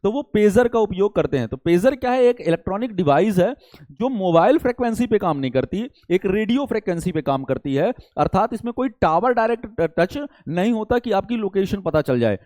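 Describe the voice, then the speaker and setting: A man's voice: high voice, lively intonation, brisk, mellow, no room sound. A man lecturing students didactically, repeating key points and posing rhetorical questions. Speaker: exam-prep teacher.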